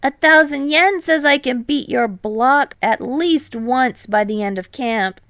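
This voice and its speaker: A woman voicing a loud, excitable, boastful teenage boy athlete in an audio story. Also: high-pitched, a little slow, dry recording, clean studio sound, voice pushed up. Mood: boisterous challenge. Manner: exuberant, shouting, eager.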